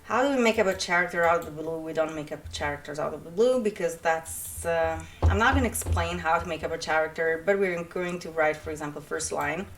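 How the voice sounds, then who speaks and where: A woman's voice: sits low, brisk, hardly any pauses, slight background noise. A young woman with an Italian accent, chatting casually and rambling through an introduction to her channel, with many fillers and restarts. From Italy. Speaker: writer and streamer.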